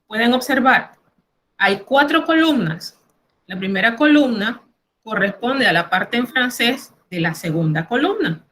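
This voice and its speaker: A woman's voice: on the high side, lively intonation, a little slow, fairly bright tone, a little hiss or hum. A middle-aged woman teacher with a Panamanian Spanish accent, teaching an online class and carefully explaining word lists. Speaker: French teacher.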